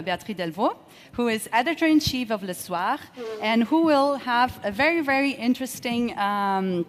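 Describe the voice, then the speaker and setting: A woman with a fairly high voice hosts a live conference from the stage. She speaks hesitantly, pausing between short phrases. Her words ring out with the long echo of a large hall. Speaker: event host.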